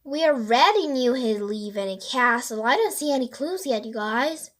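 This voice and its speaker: A woman's voice: fairly high pitch, expressive, moderate pace, close to an everyday voice. A woman voicing a joking, skeptical young boy in a children's storybook, with a playful manner.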